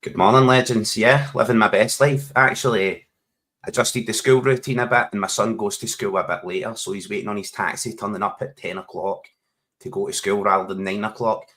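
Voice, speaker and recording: A man's voice: fairly low pitch, steady tone, a little fast, a bit bright. Matey man, laughing a lot. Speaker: podcast co-host. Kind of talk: casual chat. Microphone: home microphone on a video call.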